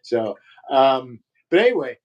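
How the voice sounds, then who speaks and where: A man with a low voice in casual conversation, rambling and halting with many fillers and restarts. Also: very animated, dull audio, music in the background. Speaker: podcast co-host. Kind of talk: casual chat about guitar.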